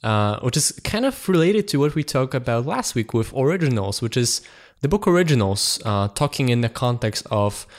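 A man's voice: medium pitch, very animated, moderate pace, hardly any pauses. A man hosting a podcast, talking casually with many fillers and repeated words. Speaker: podcast host. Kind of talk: casual chat.

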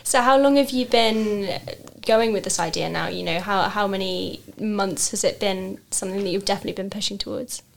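A woman's voice: medium pitch, brisk, creaky, hardly any pauses. A woman interviewing a rowing crew, asking short, friendly questions. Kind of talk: radio interview.

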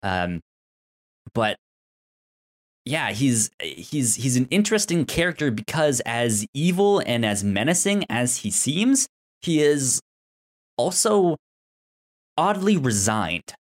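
A man co-hosting a casual TV recap discussion, halting and stammering over repeated sounds and words. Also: medium pitch, very animated. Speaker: podcast co-host.

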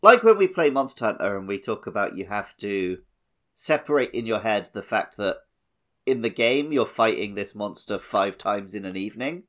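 Male gaming podcast co-host, lower register, conversational and analytical, informal phrasing. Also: animated, normal speed, fairly bright tone. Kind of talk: casual gaming podcast chat.

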